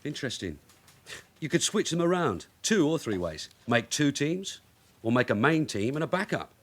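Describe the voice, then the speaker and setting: An actor playing a shrewd veteran detective sergeant, wry and blunt, in a naturalistic radio drama. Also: male voice, on the high side, sing-song, moderate pace, fairly bright tone. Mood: brisk, wry.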